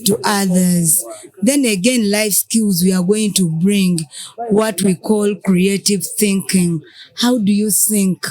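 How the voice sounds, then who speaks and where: A woman's voice: mid-range voice, fairly even intonation, slow, darkish tone, rough recording. A woman with a Ugandan English accent in a casual group conversation, explaining ideas through examples and answering her own questions.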